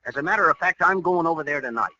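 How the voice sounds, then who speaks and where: An actor playing an eager, bumbling young boyfriend with an American accent in 1940s radio comedy, hesitant and earnest. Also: male voice, fairly high pitch, lively intonation, very fast, sharp tone. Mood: nervous, hesitant. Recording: dull, hissy old radio recording.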